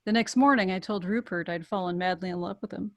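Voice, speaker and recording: A woman's voice: fairly low pitch, quick pace, natural voice. A woman reading the part of a bold, determined, flirtatious woman in love at a script table read. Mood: smitten. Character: bold, determined woman romancing a wealthy bachelor.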